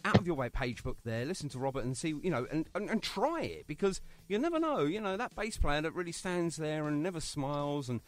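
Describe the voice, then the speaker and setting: A talkative man hosting a radio show, his voice sitting a bit high and swinging up and down a lot. He jokes, pokes fun at himself and keeps the chat moving. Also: sing-song, fairly quick, few pauses. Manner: chatty, jokey, self-mocking. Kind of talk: casual radio interview.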